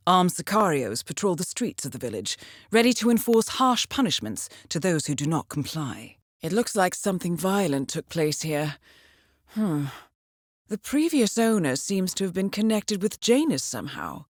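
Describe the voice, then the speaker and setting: An actress playing a composed, shrewd intelligence handler in a video game, briefing an assassin in a measured, formal way with dry understatement. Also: female voice, medium pitch, dry recording. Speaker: mission handler guiding an assassin. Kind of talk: scripted video game voice acting, briefing narration.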